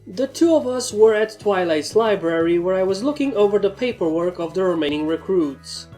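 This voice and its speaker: Female story narrator, mid-pitched voice, scripted first-person prose, music underneath. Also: animated, moderate pace, mellow. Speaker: narrator reading a fan fiction story.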